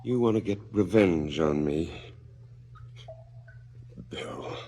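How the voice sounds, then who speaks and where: Bitter weary dad, fallen scientist, lowish voice w big swings, bit of noise behind, old radio play. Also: male voice, lively intonation, slow, dark tone, full character voice. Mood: bitter, confessional. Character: bitter, self-loathing father, a fallen scientist. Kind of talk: 1970s stylized radio drama acting.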